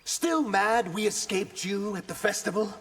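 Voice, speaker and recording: A man's voice: high-pitched, unhurried, fairly bright tone, slight background noise. A man playing an earnest, vengeful reptilian warrior in a video game, speaking with intense, dramatic defiance. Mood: taunting. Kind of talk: video game character dialogue.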